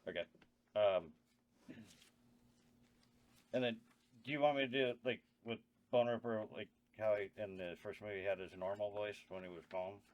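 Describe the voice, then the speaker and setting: A man checking his lines out loud, hesitant and questioning, a little away from the mic. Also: lowish voice, moderate pace, long pauses, dull audio. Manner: hesitant, asks questions, self-deprecating. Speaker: cast member. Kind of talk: casual chat.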